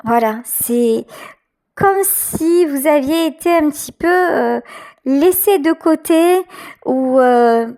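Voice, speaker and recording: High-pitched woman, slow tarot reading. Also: animated, mellow. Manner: hesitant, searching for words, pausing often. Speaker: tarot reader, channel host. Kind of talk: intuitive tarot card reading addressed to viewers.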